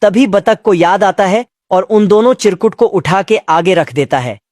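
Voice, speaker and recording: A narrator with a medium pitch delivers a scripted comic cartoon recap. The wording is colloquial and slangy, told as a running story, over faint noise. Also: normal speed.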